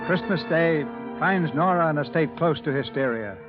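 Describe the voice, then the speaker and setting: Music plays behind a man on a muffled 1930s radio recording. He reads scripted story narration in a fairly high voice, as the show's producer. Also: lively intonation, moderate pace.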